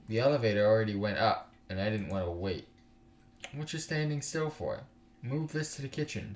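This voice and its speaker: A man doing casual let's play commentary and reading game text aloud in a halting way, with exasperated, slangy, sweary asides. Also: lowish voice. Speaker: gaming YouTuber, let's play host. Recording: roomy sound with music underneath.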